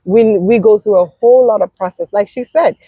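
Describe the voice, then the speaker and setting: A woman's voice: mid-range voice, a little fast, mellow, slightly rough, dry recording, low-quality recording. A Nigerian woman with a Nigerian accent hosting an interview, affirming her guest with frequent murmured agreement. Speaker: podcast host. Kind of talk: conversational interview.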